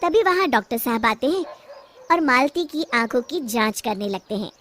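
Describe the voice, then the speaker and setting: A woman's voice: on the high side, expressive, normal speed, fairly bright tone. A woman narrating a scripted cartoon family story.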